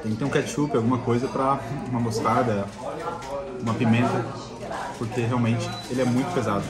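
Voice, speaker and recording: A man's voice: mid-range voice, steady tone, fairly quick, loud music behind the voice. A man with a southern Brazilian accent chatting informally in a casual food vlog, often repeating himself. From Santa Catarina, southern Brazil. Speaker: travel food vlogger. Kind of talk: casual vlog chat while tasting food. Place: inside a restaurant. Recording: busy restaurant chatter behind.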